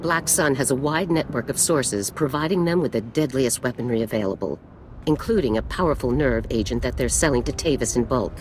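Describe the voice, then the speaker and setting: A woman's voice: extremely low pitch, brisk, a little mellow, a little hiss or hum. A woman acting a stern middle-aged female general in a video game, clipped, formal and authoritative. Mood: brisk, commanding. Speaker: military commander. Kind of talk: scripted game dialogue.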